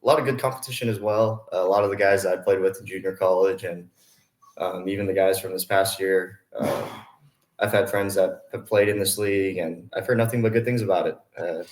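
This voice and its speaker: A man's voice: deep voice, steady tone, a little fast. A man in his twenties from Hawaii, an easygoing college athlete chatting casually as an interview guest. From Hawaii, USA. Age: 20s. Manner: easygoing, mellow. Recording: roomy, echoing sound.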